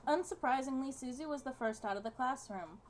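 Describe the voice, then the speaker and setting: A woman's voice: high-pitched, fairly quick, slight background noise. A woman reading a visual novel's narration aloud as part of a game playthrough. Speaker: gaming video co-host.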